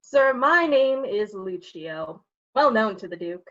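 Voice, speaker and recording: A woman acting a bawdy, slanderous gentleman rogue in a staged Shakespeare reading, with a cheeky, insinuating, theatrical delivery. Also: mid-range voice, animated, slow, lo-fi sound. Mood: defiant.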